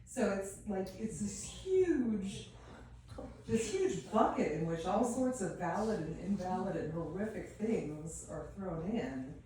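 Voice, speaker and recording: A middle-aged woman in an audience putting an earnest question in a measured way, searching for her words. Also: mid-range voice, expressive, mellow. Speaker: audience member asking a question. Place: audience at a live event, away from the mic. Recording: very echoey, distant mic, some noise.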